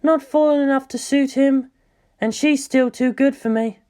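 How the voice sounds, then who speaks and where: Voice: sits high, fairly even intonation, normal speed, mellow, voice pushed up, natural voice. A narrator voicing a weary woman scientist in clipped, precise speech for an audiobook. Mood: bitter, resigned.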